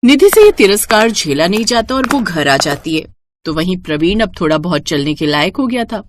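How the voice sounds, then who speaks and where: A woman narrating a scripted moral story for a cartoon in an expressive storytelling way. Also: lowish voice, normal speed, noisy background.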